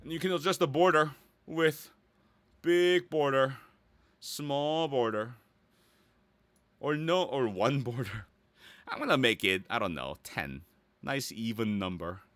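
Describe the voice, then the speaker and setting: Bright-voiced man with lively, swinging pitch. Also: slow, long pauses, no echo. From Korea or United States. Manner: playful, often checks in with "right?". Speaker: voice actor giving a website tutorial. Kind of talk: casual screen-share tutorial, thinking aloud.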